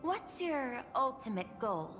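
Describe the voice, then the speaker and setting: A woman's voice: sits high, very animated, exaggerated voice. Poised executive woman in long reverb. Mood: solemn, resolute. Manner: formal, measured, deliberate. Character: poised, guarded corporate executive woman governing a world. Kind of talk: scripted game character dialogue. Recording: long reverb, music behind.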